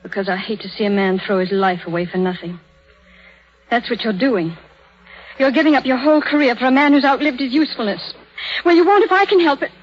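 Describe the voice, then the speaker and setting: An actress playing a fiercely loyal, blunt and indignant young nurse in a 1930s radio drama. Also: female voice, fairly high pitch, fairly quick, close to an everyday voice. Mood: indignant, scornful. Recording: muffled, noisy 1930s radio broadcast.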